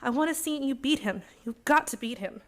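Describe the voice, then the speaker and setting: An anxious young secretary played by a woman with a fairly high voice. She is loyal and pleading, delivering radio-thriller lines with earnest urgency. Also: lively intonation, brisk. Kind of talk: radio play suspense acting.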